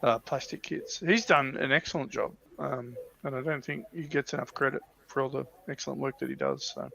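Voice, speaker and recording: A man's voice: mid-range voice, animated, brisk, creaky, sharp tone, slight background noise, low-quality recording. A man chatting casually as a regular on a hobby show.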